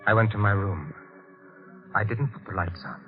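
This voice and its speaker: An American man acting in a 1940s radio horror drama as a tense, guilty, sleepless husband, guarded, clipped and halting. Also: lowish voice, close to an everyday voice. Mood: haunted. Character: tense, guilty, insomniac killer husband confessing, evasive. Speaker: actor and narrator in radio drama. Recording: dull vintage radio recording with music.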